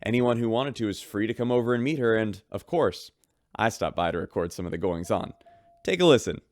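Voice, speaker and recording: An actor playing a chatty, upbeat radio host aboard a starship in an audio drama. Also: male voice, fairly low pitch, expressive, a little fast, a little mellow, dry recording, natural voice.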